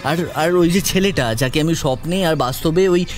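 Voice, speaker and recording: A nervous, curious young lad, played by a man with a fairly high voice. He speaks urgently and haltingly, repeating himself, in a dramatised audio story with clear music behind him. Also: close to an everyday voice. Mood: puzzled, insistent. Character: frightened, curious young village visitor, a grandson.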